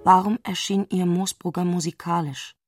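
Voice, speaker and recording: Eine Frau spricht in einem literarischen Hörspiel die introspektive Erzählerin, die mit bedachten Pausen die Gedanken einer aufgewühlten Frau schildert. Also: female voice, on the low side, steady tone, soft background music, natural voice. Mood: introspective, hushed. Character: intimate, introspective female narrator of a troubled woman's thoughts. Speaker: narrator in a literary radio play. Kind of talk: literary radio drama narration.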